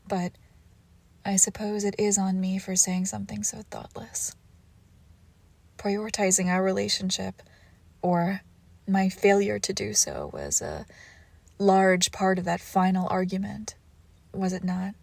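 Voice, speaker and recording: A woman playing a suave, controlled villainous ex-lover in an intimate audio roleplay, with measured formal phrasing and soft breathy pauses. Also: medium pitch, no echo. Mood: tender, regretful. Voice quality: creaky, often dropping into whisper. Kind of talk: scripted romantic audio roleplay, intimate and slow.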